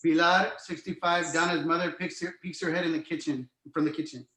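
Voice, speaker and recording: Guy reading stage directions from a screenplay, slightly high voice, echoey room. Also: steady tone, brisk, bright, forceful tone, slight background noise. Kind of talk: scripted screenplay narration. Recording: roomy, echoing sound.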